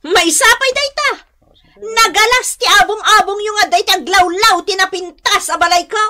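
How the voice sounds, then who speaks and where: A woman's voice: very high-pitched, normal speed. An actress with an Ilocano accent playing a haughty, quarrelsome, well-off woman who scolds and bosses people in a radio drama. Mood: scornful, angry. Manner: domineering, scolding, sharp.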